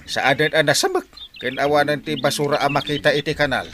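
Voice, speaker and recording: A man playing a jovial, proud village captain in a folksy, excitable comic radio drama style. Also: a little high, fast, noisy background, natural voice. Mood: cheerful, earnest. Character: jovial male village captain, proud, easily sidetracked from duty.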